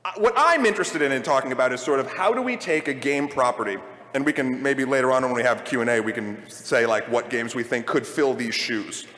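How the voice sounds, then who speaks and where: A man's voice: medium pitch, a little fast, fairly bright tone. A man hosting a live panel at a convention, talking casually and rambling, often correcting himself as he goes. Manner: rambling, self-correcting, jokey. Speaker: podcast host on a live panel. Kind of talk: casual panel talk before a live audience. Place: convention hall. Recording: echoey hall with long reverb.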